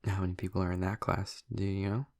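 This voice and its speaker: Hushed, gravelly young male vampire played by a man. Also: low voice, flat delivery, fast talker, no echo, clean studio sound. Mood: curious, attentive. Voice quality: breathy, husky, gravelly murmur. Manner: hesitant, rambling, casual. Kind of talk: intimate audio roleplay addressed to the listener.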